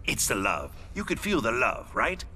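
A man's voice: medium pitch, wildly swooping pitch, moderate pace, bright, forceful tone, a little hiss or hum. An actor playing a theatrical, mocking male clown villain in a video game cutscene, with a sing-song, swooping delivery. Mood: playful.

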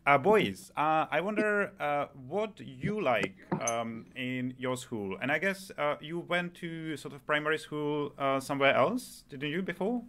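A man hosting a podcast interview, speaking conversationally with hesitant, halting phrasing. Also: on the high side, expressive, fairly bright tone. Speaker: podcast host.

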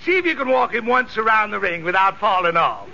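An actor playing a folksy, patient riding instructor with a Southern US drawl, drily exasperated, in a 1940s radio sitcom. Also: male voice, high voice, big pitch swings, fairly quick, vocal fry, full character voice. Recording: muffled, hissy old radio recording.

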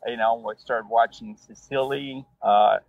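A Brazilian man, Portuguese his first language, speaks English with a husky voice. He rambles casually with lots of fillers. The sound is dry and a little dull. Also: mid-range voice, a little slow, dry recording. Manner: relaxed, rambling, many fillers. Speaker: rideshare driver guest. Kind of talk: casual interview storytelling.

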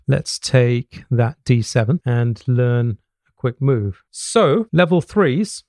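A middle-aged man teaches piano informally, with piano notes sounding clearly behind him. His voice sits at an average pitch, close on a studio mic. He explains as he goes, thinking aloud and tossing in short questions to check understanding. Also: dark tone, no echo. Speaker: piano teacher, host of online jazz lessons. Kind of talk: informal spoken music lesson, thinking aloud while demonstrating. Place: small home study with bookshelf, indoors. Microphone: studio mic on boom arm close to the mouth. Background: piano playing.

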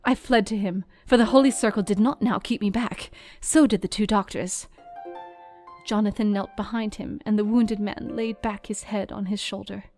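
An actress playing a grieving young Victorian wife, narrating an audio drama in a solemn, dramatic way. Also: female voice, medium pitch, fairly even intonation, moderate pace, a little mellow, faint music behind, close to an everyday voice. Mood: solemn, sorrowful.

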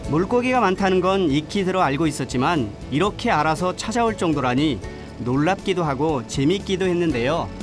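A man giving calm, measured scripted voice-over narration for a travel documentary. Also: fairly high pitch. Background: background music.

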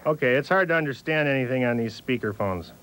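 A man's voice: mid-range voice, expressive, fast, fairly bright tone, a little hiss or hum, poor audio. A middle-aged American man working as a radio DJ, talking in a casual, wry, easygoing patter. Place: small radio studio. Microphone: large studio mic on a boom arm.

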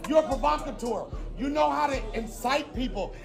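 A man's voice: extremely high pitch, moderate pace, gravelly. A man arguing heatedly on a comedy club stage, insistent and repeating himself, recorded in a noisy club clip. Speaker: stand-up comedian. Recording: echoey comedy club clip with loud crowd and music.

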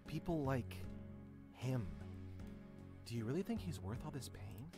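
A possessive, affectionate ghostly kids' show host from a visual novel game. He speaks softly and coaxingly at an ordinary male pitch. Music plays clearly behind him. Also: very animated, a little slow.

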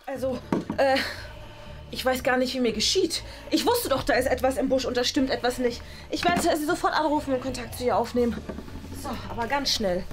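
A woman's voice: high-pitched, brisk, over music, close to an everyday voice. A woman playing a worried, doting and nosy gothic mother in a web skit, fussing theatrically. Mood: indignant. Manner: fussing, hesitant, theatrical endearments. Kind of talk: improvised web-video skit acting.

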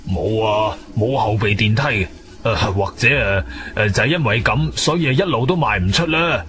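A man's voice: lowish voice, animated, moderate pace, fairly bright tone. A male actor playing an elderly, grumbling, uneasy building caretaker in a Cantonese radio drama, hemming and hesitating. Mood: hesitant, puzzled. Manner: hesitant, mumbling with many hems and sighs.

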